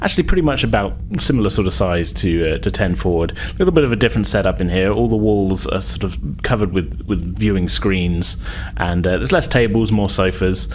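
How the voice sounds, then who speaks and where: A man's voice: low-pitched, brisk, hardly any pauses, slight background noise. A man narrating a tabletop game as game master, informal and descriptive, with a hesitant, improvised delivery.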